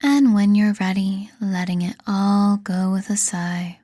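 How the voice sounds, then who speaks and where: A woman reading a scripted guided meditation in a soothing, deliberate manner, leaving pauses between phrases. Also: medium pitch, steady tone, dark tone, dry recording, clean studio sound. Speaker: meditation guide and podcast host.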